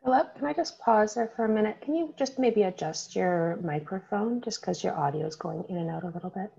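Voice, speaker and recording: A woman's voice: medium pitch, expressive, a little fast, creaky, dark tone, husky. A woman moderating an online webinar who politely breaks in to point out an audio problem. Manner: polite, interrupting to fix audio. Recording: muffled video call audio.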